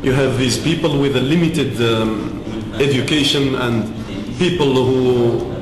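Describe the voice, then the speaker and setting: A man from Africa answering interview questions in a measured way with many pauses. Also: medium pitch, reverberant, in a large hall, music in the background, rough recording.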